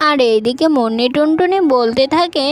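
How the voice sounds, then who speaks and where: A woman's voice: high-pitched, animated, moderate pace. A woman narrating a scripted cartoon tale for children as a storyteller.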